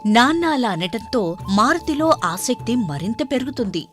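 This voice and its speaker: A woman narrating a children's moral story in an expressive, scripted storytelling style. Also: on the high side, normal speed, soft background music.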